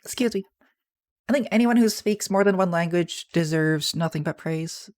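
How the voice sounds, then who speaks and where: A man with a soft, feminine-sounding voice, chatting casually on a livestream and answering viewer messages in a rambling, soft-spoken way. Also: sits high, moderate pace. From Canada. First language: English. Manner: soft-spoken, rambling, with restarts and small laughs. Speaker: streamer.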